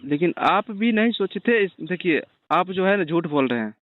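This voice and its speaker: A man's voice: sits high, animated, normal speed, dry recording. A man conducting a blunt, accusing interview over the phone, badgering with pointed questions. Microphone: phone call. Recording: narrow tinny phone-line call.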